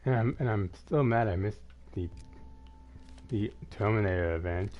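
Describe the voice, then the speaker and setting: A man's voice: fairly low pitch, normal speed. A man with a slight lisp chatting casually and rambling as he streams gameplay, in a self-effacing way. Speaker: gaming streamer. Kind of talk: casual live gameplay commentary, chatting with viewers. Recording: dull lo-fi mic, music behind.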